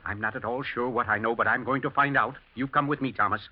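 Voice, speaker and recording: An actor playing a shrewd, suspicious master of the house, urgent and dryly genteel, in a 1940s-style radio drama. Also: male voice, medium pitch, steady tone, fast, husky, close to an everyday voice. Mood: determined. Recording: muffled, grainy old radio broadcast.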